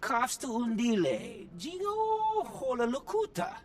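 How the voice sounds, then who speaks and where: A man's voice: very high-pitched, sing-song, slow pace, exaggerated voice. A man playing an alien guide in a video game, speaking an invented tongue emphatically. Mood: emphatic.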